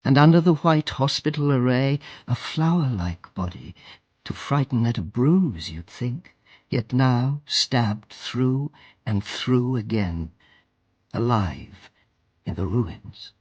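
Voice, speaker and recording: A man playing a grave, mournful onlooker who recites verse in a radio drama, with a rough, husky voice. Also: medium pitch, lively intonation, slow pace, darkish tone, dull audio. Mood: mournful, tender.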